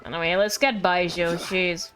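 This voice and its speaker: A woman's voice: on the low side, steady tone, moderate pace, fairly bright tone. A woman doing casual live game stream commentary, playful and rambling with mock-indignant asides. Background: game music.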